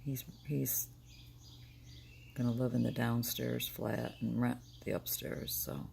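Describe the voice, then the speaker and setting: Gravelly older woman, tired and confiding. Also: level tone, slow, a little mellow, a little hiss or hum. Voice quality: gravelly, deep, smoker-like morning voice. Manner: candid, rambling, confiding. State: tired and sleep-deprived. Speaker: vlogger. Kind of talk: personal video diary.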